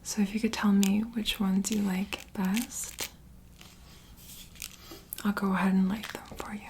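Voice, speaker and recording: A woman softly whispering in a soothing, reassuring, unhurried way, as an ASMR host in a gentle comforting roleplay. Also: mid-range voice, level tone, a little hiss or hum. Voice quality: soft breathy whisper.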